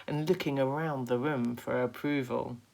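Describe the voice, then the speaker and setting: A woman reading a children's story aloud as a teacher would, in an expressive narrating style. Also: extremely low pitch, normal speed, creaky, low-quality recording.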